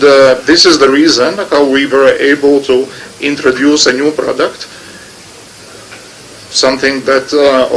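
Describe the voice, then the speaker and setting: A man's voice: medium pitch, a bit bright, slight background noise, rough recording. A man, a company executive answering a committee's questions, explaining carefully and repeating words as he thinks. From Latvia. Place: parliament committee room.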